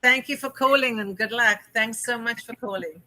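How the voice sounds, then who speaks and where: Bright, effusive woman host from South Africa; dry studio sound. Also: a little high, normal speed, no room sound, poor audio. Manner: chatty, effusive, with frequent fillers. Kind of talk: call-in interview show.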